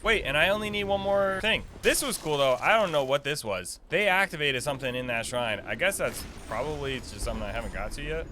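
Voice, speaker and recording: A man's voice: on the high side, animated, a little fast, hardly any pauses, bright, forceful tone, slight background noise. A young man giving casual live gameplay commentary, thinking aloud in a slangy, playfully cocky way. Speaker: gaming video creator giving a quest guide.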